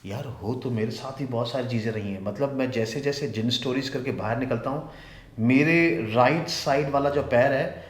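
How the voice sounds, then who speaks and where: A man's voice: medium pitch, moderate pace, roomy sound. A man hosting a casual chat show, bantering and teasing in slangy speech. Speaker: vlog and podcast host.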